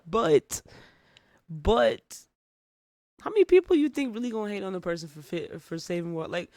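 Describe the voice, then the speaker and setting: A man's voice: high voice, big pitch swings, moderate pace, darkish tone, dry recording, clean studio sound. A man giving casual reaction commentary as a host, informal and full of rhetorical questions.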